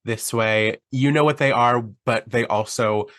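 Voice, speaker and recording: A man's voice: medium pitch, a little slow, a bit bright, no echo, clean studio sound. A man in his thirties co-hosting a book podcast, easygoing, chatty and joking. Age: 30s. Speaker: podcast co-host. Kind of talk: casual book chat.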